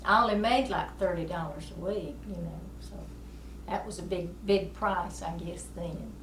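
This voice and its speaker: A woman's voice: on the low side, moderate pace, reverberant, far from the mic, background noise. A woman, reminiscing in a folksy, rambling way in an oral history interview, often chuckling. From South Carolina, USA. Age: 70s. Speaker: interviewee, amateur musician.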